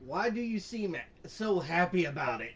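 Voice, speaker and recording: Low-voiced woman playing through a game. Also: sing-song, normal speed, a crowd in the background. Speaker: gameplay streamer. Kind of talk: casual game playthrough, voicing dialogue choices. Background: loud game music.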